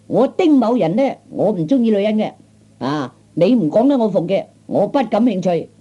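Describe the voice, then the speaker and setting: A man's voice: sits high, very animated, moderate pace, warm tone, husky, full character voice. A man playing a cocky, blustering young street tough with a Cantonese accent, in old radio comedy style. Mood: scornful. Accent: Cantonese, Hong Kong or Guangdong. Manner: blustering, taunting, stammering interjections. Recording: dull old 1960s comedy record.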